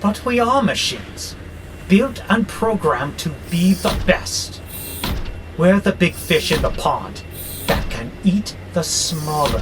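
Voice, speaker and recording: A man's voice: sits high, heavily acted. A man acting in an audio drama as an arrogant, frustrated warehouse robot plotting war, with a grandiose, exasperated delivery. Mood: boastful. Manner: grandiose, slow and theatrical, exasperated. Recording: clearly noisy background hum.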